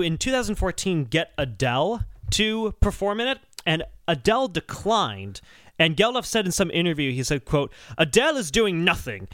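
A man hosting a podcast in casual, comedic discussion with animated, exasperated emphasis. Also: a little high, no room sound. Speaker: podcast host.

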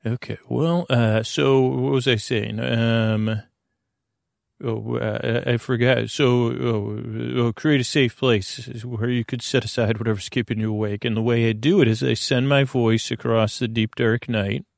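A man hosting a bedtime podcast in a creaky, soothing voice, meandering haltingly through a rambling monologue and trailing off into tangents. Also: mid-range voice, fairly even intonation, no room sound, clean studio sound. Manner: lulling, soothing. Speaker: host of a bedtime sleep podcast.

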